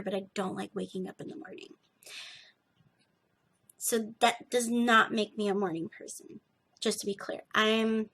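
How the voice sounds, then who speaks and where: A young woman with a slightly gravelly edge, chatting casually and emphatically as a podcast host, often restarting her sentences. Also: a little high. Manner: animated, emphatic, self-interrupting. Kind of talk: casual rambling chat to viewers.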